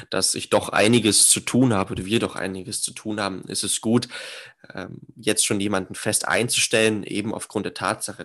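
A man's voice: lowish voice, a bit bright. A man in his twenties answering interview questions about his business in an earnest, businesslike way. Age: 20s. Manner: earnest, businesslike, laughs at his own remarks. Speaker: young real estate business owner, guest.

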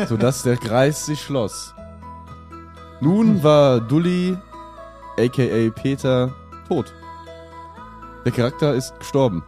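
A man leads an improvised comedy audio play as narrator and questioner. His delivery is slow with lively swings of pitch, and he sounds amused, with music behind him.

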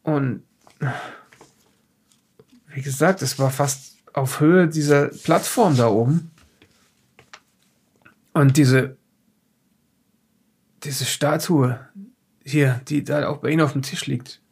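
Man playing a gravelly, haunted male scientist. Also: a little high, darkish tone, exaggerated voice. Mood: haunted, shaken. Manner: halting, defensive, stammering. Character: frightened, defensive male scientist recounting occult horrors under questioning. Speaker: actor in amateur horror audio drama. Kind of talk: improvised audio drama dialogue.

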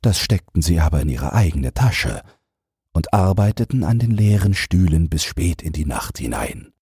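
A man's voice: low-pitched, big pitch swings, normal speed, creaky, dark tone, husky, no echo, studio quality. A man narrating a fairy tale as a bedtime audiobook, reading a scripted text as a storyteller.

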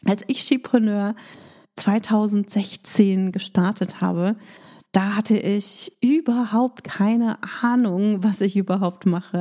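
A woman hosting her own business podcast, upbeat and coaching, still a little hoarse from a cold. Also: medium pitch, dark tone. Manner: upbeat coaching tone, with fillers in free talk. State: recovering from a cold, voice slightly rough. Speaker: podcast host. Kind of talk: scripted intro then casual monologue.

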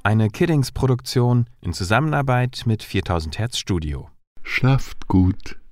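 A man's voice: medium pitch, lively intonation, measured pace, no room sound. A man reading a scripted podcast outro as an announcer. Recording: loud music behind voice. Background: music.

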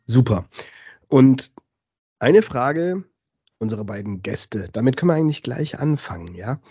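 Male podcast host, mellow and husky, informal and chatty, slightly dull recording. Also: mid-range voice, expressive, measured pace, no room sound. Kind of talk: casual talk moderation.

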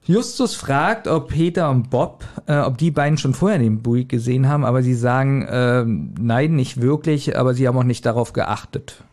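A man hosting a casual podcast, retelling a story scene by scene in a halting, chuckling manner. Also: mid-range voice, darkish tone. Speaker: podcast host retelling an audio drama. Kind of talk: casual scene-by-scene recap among friends.

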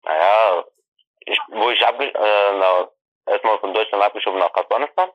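A man who grew up in Iran, answering interview questions in halting speech full of restarts, heard over a video call from his family's apartment. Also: on the low side, no echo. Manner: halting, restarts and self-corrections. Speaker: interview guest. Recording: dry lo-fi video call audio.

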